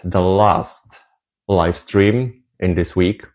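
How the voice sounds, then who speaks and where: Hoarse low-voiced man, slow and halting. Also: darkish tone. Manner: halting, repeats words, restarts. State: losing his voice, sore throat. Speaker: live stream host, meme creator. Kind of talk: casual live stream chat. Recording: echoey room.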